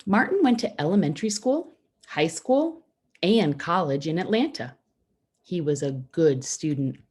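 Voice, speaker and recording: A woman reading a children's picture book aloud, phrasing it simply and deliberately with pauses for young listeners. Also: low-pitched, expressive, a little mellow, breathy edge. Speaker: storybook reader for schoolchildren.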